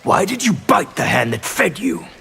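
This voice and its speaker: A man playing a brutal, sadistic warrior in a fighting game, gravelly and taunting. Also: high-pitched, sing-song, bright, forceful tone, soft background music, exaggerated voice. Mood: gloating. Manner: slow, taunting, menacing. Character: Reiko, brutal sadistic male warrior general. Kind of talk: fighting game character acting.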